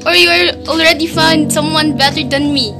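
A woman's voice: high-pitched, fairly even intonation, moderate pace, music in the background. A woman playing a heartbroken, pleading young girlfriend in an amateur radio drama. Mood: pleading, hurt.

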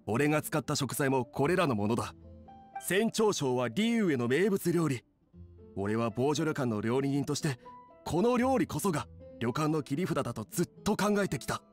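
A man's voice: on the high side, very animated, moderate pace, soft background music. A man voicing a proud, confident inn chef in an anime game, earnest and assertive.

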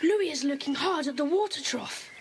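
A woman's voice: sits high, expressive, normal speed, a little hiss or hum. A woman voicing an alert, eager young child detective in an audio drama.

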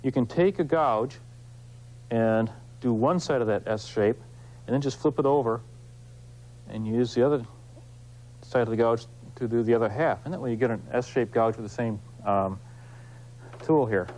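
A man hosting an instructional craft demonstration, explaining informally and in a folksy, unhurried way while he works with his hands. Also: mid-range voice, a little mellow. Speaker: woodcarving instructor, show host.

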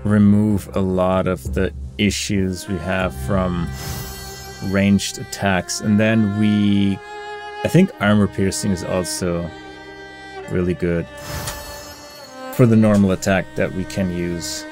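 A man's voice: low-pitched, flat delivery, slow. A man giving casual game commentary, musing and weighing his options aloud in a hesitant way. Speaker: gaming video creator. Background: game music.

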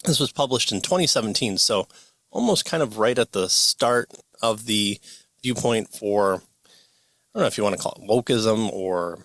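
A man's voice: lowish voice, animated, moderate pace, fairly bright tone, no room sound. A man in his thirties hosting a casual book talk from a home library, thinking aloud with many fillers and restarts. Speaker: podcast host. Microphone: studio mic on boom arm.

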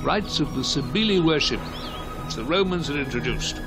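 A man's voice: fairly high pitch, animated, moderate pace, creaky. An actor in a mid-century radio drama playing a genteel man who recounts grisly local legends in ornate, theatrical phrases. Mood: ominous. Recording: old radio drama recording with music behind.

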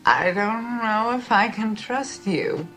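Slow, coy small-town widow played by a woman. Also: on the high side, a bit bright, soft background music. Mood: tipsy, flirtatious. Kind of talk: audio drama acting.

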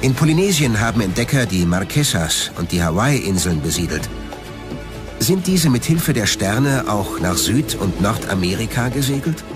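Low-voiced male documentary narrator, lively intonation. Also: moderate pace, music in the background.

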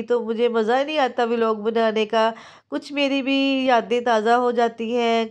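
A woman in her thirties with a Pakistani Urdu accent, chatting through a home vlog and voice-over, hoarse from a sore throat and cold, homely and confiding. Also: fairly high pitch, a little mellow. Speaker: home cooking vlogger. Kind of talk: casual vlog chat and voice-over. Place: small room at home, indoors.

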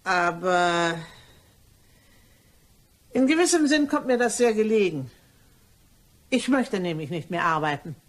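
A woman's voice: lowish voice, animated, unhurried, long pauses. A woman playing a sly, chatty cleaning lady turned blackmailer in a crime radio play, ingratiating and mock-innocent in manner. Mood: prying, chatty.

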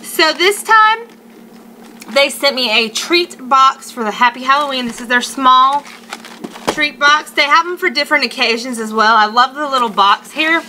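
Female food vlogger, 30s, high and bright voice, chatty and emphatic, casual home webcam review. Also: moderate pace, low-quality recording. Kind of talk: casual unboxing review to camera. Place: living room at home. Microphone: webcam or laptop mic at arm's length. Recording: faint noise, home webcam sound.

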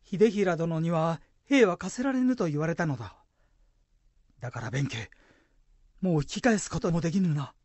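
A woman's voice: lowish voice, animated, moderate pace, pauses often, a little mellow, no echo. A woman plays an earnest young male samurai, a devoted younger brother in a radio play. The voice swings expressively up and down. The phrasing is formal and archaic, recorded dry and close. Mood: brooding. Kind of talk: Japanese radio drama acting.

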